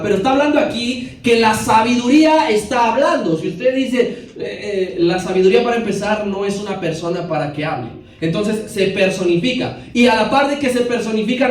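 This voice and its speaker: A man giving a Bible class in a church hall, explaining verses step by step and putting questions to his listeners. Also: sits high. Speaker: bible teacher. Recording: very echoey hall, voice from a distance.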